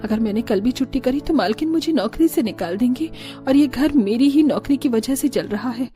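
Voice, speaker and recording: A woman's voice: a little high, expressive, brisk, mellow, faint music behind, close to an everyday voice. A woman playing poor, caring family women in a Hindi cartoon story, earnest and pleading. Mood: insistent. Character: poor, pleading, caring mother-in-law and daughter-in-law.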